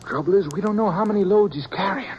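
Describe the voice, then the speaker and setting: A man's voice: sits high, fast, highs cut off, noisy background, natural voice. An actor playing a practical frontier lawman who talks in a plain, laconic way, in 1950s radio acting style. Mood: steady. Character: steady male lawman partner, practical.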